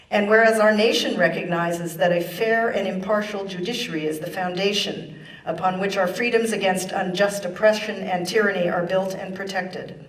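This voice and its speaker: A woman speaking formally and in a measured way as an official at a ceremony. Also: medium pitch. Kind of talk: formal ceremony speech and proclamation reading. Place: large echoey indoor ceremony room. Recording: echoey room, distant microphone.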